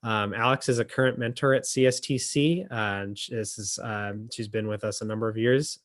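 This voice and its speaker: A man with a low voice and very little swing in pitch hosts a poetry reading on a video call. He speaks haltingly and reflectively, as an emcee introducing readers. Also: fairly even intonation. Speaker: emcee and editor.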